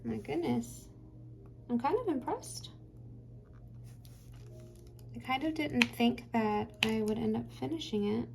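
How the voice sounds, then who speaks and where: A woman's voice: fairly high pitch, takes it slowly, vocal fry, pauses often, darkish tone, soft background music, low-quality recording. A woman hosting a craft channel, chatting casually while she works, rambling and hesitant with many fillers, her voice tired and getting dry.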